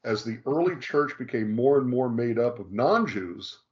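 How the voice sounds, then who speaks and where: A man speaking as a guest rabbi, explaining points firmly and hammering them home by repeating phrases. His pitch is mid-range. The audio is slightly muffled. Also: expressive, moderate pace. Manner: emphatic, repeats key phrases. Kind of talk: explanatory discussion.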